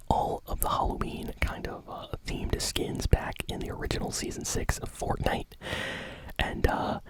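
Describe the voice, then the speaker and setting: A man's voice: very high voice, low-quality recording. A man whispering a gaming news roundup as an ASMR host, with frequent fillers and repeated words.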